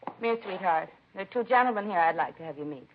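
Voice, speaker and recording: A woman's voice: lowish voice, lively intonation, quick pace, heavy background noise, lightly acted. An actress playing an earnest, indignant woman who runs a children's home in a 1950s radio drama, plain-spoken and heartfelt. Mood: gentle, earnest. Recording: dull vintage radio recording.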